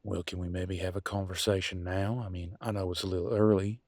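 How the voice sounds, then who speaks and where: A man playing a weary, embittered werewolf pack leader and husband in a dramatic audio roleplay, with a folksy, sarcastic, pausing delivery. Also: low voice, flat delivery, darkish tone, no room sound. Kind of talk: dramatic one-sided relationship roleplay audio.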